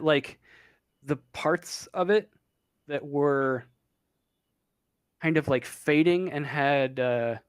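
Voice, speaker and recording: A man running a tabletop horror game as game master, narrating with many pauses and fillers. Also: on the high side, no room sound. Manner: halting, many ums and uhs. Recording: online voice chat.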